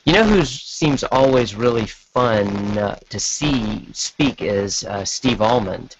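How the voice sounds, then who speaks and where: A man chatting casually as a podcast guest, halting and self-deprecating, over an online call. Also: mid-range voice, animated, a little hiss or hum. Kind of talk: casual chat. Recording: dull lo-fi online call audio.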